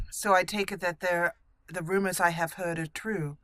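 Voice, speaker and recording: A woman's voice: fairly low pitch, fairly even intonation, measured pace, a bit bright, no room sound. A woman hosting a video game roleplay let's play, talking in a conversational, explanatory way. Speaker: gaming channel host. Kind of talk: roleplay let's play commentary, reading character dialogue in between.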